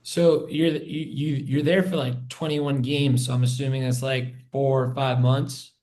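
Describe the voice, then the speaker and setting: A man's voice: mid-range voice, level tone, measured pace, warm tone. A man hosting a podcast, casually interviewing and recapping facts in short phrases before he gets to his question. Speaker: podcast host.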